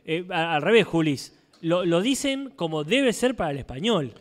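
Casting: male talk show host, Argentine accent, high-pitched voice, casual and emphatic, quick to argue a point. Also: lively intonation. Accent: Argentine Spanish. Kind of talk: casual conversational debate.

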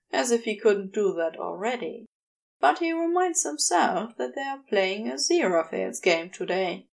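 A woman narrating a scripted story as an audiobook reader, in a measured delivery. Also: on the high side, gravelly. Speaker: audiobook reader of fan fiction.

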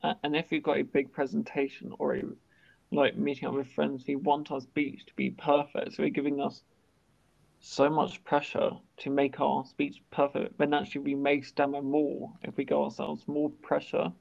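A man's voice: a little high, completely flat delivery, moderate pace, vocal fry, darkish tone. A young man hosting a podcast interview, talking casually and openly while he stammers and restarts words. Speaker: podcast host. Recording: dull lo-fi sound.

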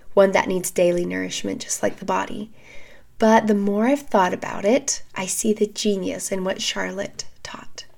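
A woman in her thirties hosts a podcast in a calm, measured way. Her voice is scratchy and gravelly from a recent illness. Her pitch sits in an ordinary middle range. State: hoarse, recovering from a respiratory illness. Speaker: podcast host. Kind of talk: scripted educational podcast talk.

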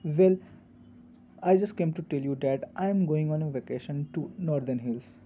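A man's voice: fairly high pitch, moderate pace, dark tone, close to an everyday voice. A man playing a polite, sympathetic family acquaintance in an amateur radio drama, speaking formally and theatrically. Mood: courteous. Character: Ajay, polite, sympathetic male family acquaintance with frail nerves. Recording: dull lo-fi audio with clear noise.

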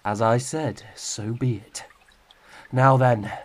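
A man's voice: medium pitch, steady tone, takes it slowly. An actor playing a noble young king in a fantasy audio drama, speaking in formal, archaic, dramatic phrases. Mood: grave, resolute. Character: noble young male fantasy king, devoted, desperate lover.

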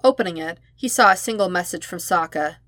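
A woman reading fan fiction aloud in an expressive audiobook style, with emphatic, dramatic phrasing. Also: lowish voice, a little fast, no room sound. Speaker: podfic narrator.

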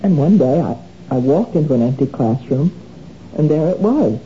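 An actress playing an anxious wife who keeps shoplifting, in a 1970s radio drama with a defensive, pleading manner. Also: female voice, low-pitched, animated, normal speed, warm tone, soft background music. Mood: reminiscing. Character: anxious woman caught shoplifting again. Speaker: troubled wife. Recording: dull old radio broadcast.

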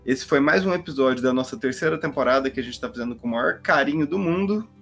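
A young man from Brazil with a Minas Gerais accent, co-hosting a podcast in a relaxed, rambling way, often restarting his sentences. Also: mid-range voice, fast, creaky, faint music behind. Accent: Minas Gerais Portuguese. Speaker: podcast co-host. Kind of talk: relaxed podcast chat and sign-off.

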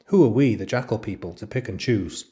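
A man's voice: lowish voice, lightly acted. A man doing a sly, fawning jackal. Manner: wheedling, drawn-out flattery. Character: fawning, spiteful male jackal, small and sly. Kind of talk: storybook reading.

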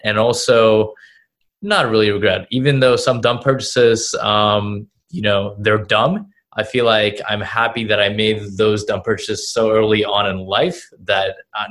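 Casting: 19-year-old man from Russia, very deep and monotone, loose rambling interview delivery. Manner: rambling, heavy on fillers like "you know" and "right?". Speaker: guest entrepreneur. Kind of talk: casual interview answers.

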